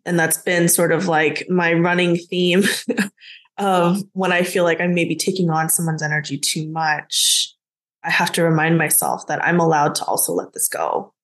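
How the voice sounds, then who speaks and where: A woman's voice: fairly low pitch, normal speed. A woman from the United States talking as a guest, reflective and casual, with many "like" fillers. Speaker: business mentor, guest. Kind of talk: casual conversation.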